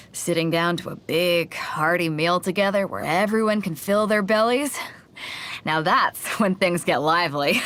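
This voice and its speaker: A woman's voice: on the low side, fairly bright tone. Game role: bold female fleet captain, generous, swaggering and hearty, easygoing confidence, music underneath. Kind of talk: video game character voice lines.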